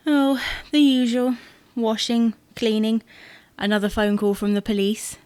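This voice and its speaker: A sardonic housewife, acted by a woman in a domestic audio comedy. Her voice sits a little high and is recorded very dry, with a deadpan, unimpressed delivery. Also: unhurried, clear, resonant tone, no room sound, close to an everyday voice. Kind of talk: audio sitcom acting.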